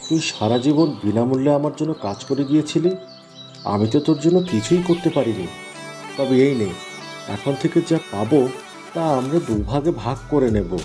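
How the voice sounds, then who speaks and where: A man's voice: medium pitch, animated, normal speed, dark tone, faint music behind, lightly acted. A man playing an earnest, wistful village farmer in a fairy tale cartoon, with a plaintive way of talking.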